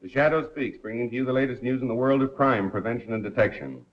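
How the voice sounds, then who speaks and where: Actor as suave american radio crime guy, 1930s film, measured and wry, old muffled lo-fi sound. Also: medium pitch, lively intonation, close to an everyday voice. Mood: wry, newsy. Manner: polished, measured announcer delivery. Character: suave, wry male radio crime commentator and amateur sleuth.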